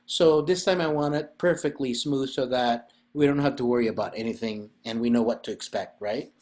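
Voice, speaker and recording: A man's voice: medium pitch, fairly quick, darkish tone. A man, hosting a podcast in a rambling, chatty way and laughing often. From Philippines. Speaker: podcast host and festival organizer. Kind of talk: casual chat.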